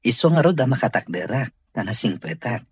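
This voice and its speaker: A man playing a grateful, earnest boss recovering in therapy, in an Ilocano radio drama, with a Filipino accent and a husky voice. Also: mid-range voice, animated, fairly quick, no room sound, studio quality. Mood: gentle, warm.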